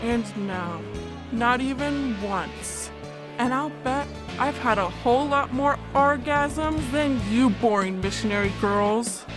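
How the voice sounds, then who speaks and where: A woman playing a brash, bawdy blonde flirt in a comic audiobook, teasing and giggly with drawn-out words. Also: fairly high pitch, music in the background. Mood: smug. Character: brash, bawdy blonde flirt, proud self-declared virgin.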